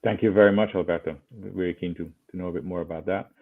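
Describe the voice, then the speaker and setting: Swiss guy moderating an online panel, deep voice, repeats words a lot, sounds like a phone line. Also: quick pace, creaky, slight background noise. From Switzerland. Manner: relaxed, repeats words while searching for phrasing. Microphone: video call. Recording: tinny phone-like call audio.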